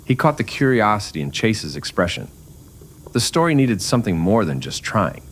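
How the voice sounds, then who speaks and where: Man, low voice, highly varied intonation, scripted Western narration, old-time radio storytelling. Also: very animated, normal speed, a little hiss or hum.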